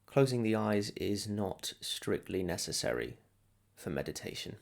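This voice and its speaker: A man leading a guided meditation as a teacher, soothing and unhurried, leaving long pauses between short phrases. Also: lowish voice, very animated, creaky. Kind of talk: guided meditation, calm instruction.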